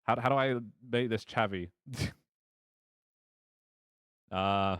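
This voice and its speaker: A man's voice: lowish voice, slow, fairly bright tone, clear, resonant tone, dry recording. A man casually running a tabletop game as game master, hesitant, self-deprecating and prone to swearing.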